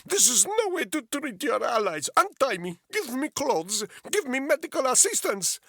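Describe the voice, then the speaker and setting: A man voicing a desperate male Russian captive who pleads and shouts in pain, with a thick Russian accent. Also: very high voice, sing-song, measured pace, vocal fry, husky, no room sound, clean studio sound, pitched above the performer's own voice, heavily acted. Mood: shouting.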